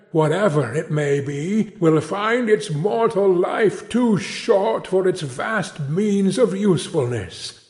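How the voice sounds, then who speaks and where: Guy doing a sorrowful chained ghost, solemn, preachy, drawn out slow. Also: fairly high pitch, a little mellow, pitched above the performer's own voice. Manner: solemn, grieving declamation. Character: anguished chained ghost of an old businessman.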